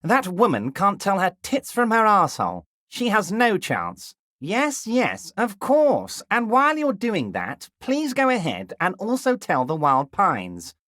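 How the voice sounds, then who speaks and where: An actor playing a heavyset, smooth-talking male union boss, honeyed and self-satisfied with a menacing charm, in game voice acting. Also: high-pitched, huge pitch swings, unhurried, hardly any pauses, pushed and bright, no room sound, studio quality, exaggerated voice. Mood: smug.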